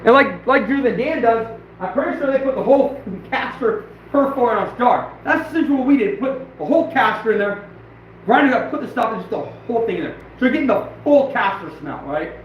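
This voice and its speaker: Hoarse man talking from far away. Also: extremely high pitch, fairly quick, a little hiss or hum. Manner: rambling, laughing, blunt and excitable. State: hoarse, losing his voice. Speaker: trapper and channel host. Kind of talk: casual livestream chat. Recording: very echoey, distant, dull and lo-fi.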